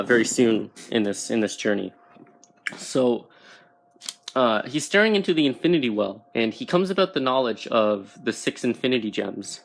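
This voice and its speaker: A man presents an informal comics explainer in a voice of average pitch. He speaks haltingly, feeling his way toward each phrase as he goes. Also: vocal fry, fairly bright tone. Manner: halting, searching for words. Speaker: YouTube comics explainer host.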